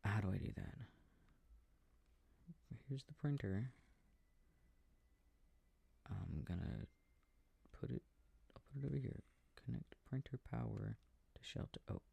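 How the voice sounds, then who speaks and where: Affectionate boyfriend, played by a man, for sleep-aid ASMR roleplay: breathy, gravelly, soft rambling murmur, very close and dry. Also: lowish voice, animated, a little slow, long pauses, no echo, heavily acted. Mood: absorbed, mildly puzzled. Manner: murmured, rambling, gently bemused. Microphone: close microphone.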